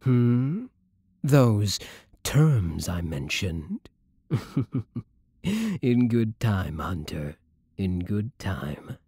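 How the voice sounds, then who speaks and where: A man's voice: lowish voice, sing-song, very slow, long pauses, warm tone, husky, dry recording, clean studio sound, full character voice. A man playing a suave, sadistic vampire lord in an intimate ASMR roleplay, courtly, teasing and menacingly polite. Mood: teasing, unhurried.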